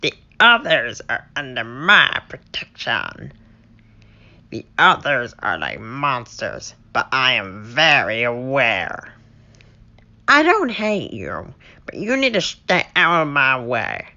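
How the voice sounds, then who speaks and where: A man's voice: medium pitch, very animated, slow, pushed and bright, full character voice. Guy doing a tense swoopy robot guardian, pompous, lo-fi home recording. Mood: menacing. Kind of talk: amateur game character voice lines.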